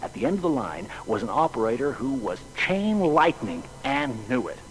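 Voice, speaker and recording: A man plays a folksy old-time telegraph worker recounting an office anecdote in a radio-style drama. His mid-pitched voice swings very widely as he talks in colloquial turns, with faint background ambience around him. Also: moderate pace, a little hiss or hum, close to an everyday voice, low-quality recording. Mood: amused recollection. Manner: animated, colloquial.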